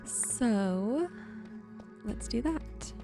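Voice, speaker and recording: A woman giving ASMR-style gameplay commentary, musing to herself in an unhurried way. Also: on the high side, animated, music in the background. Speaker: game streamer hosting a relaxation video.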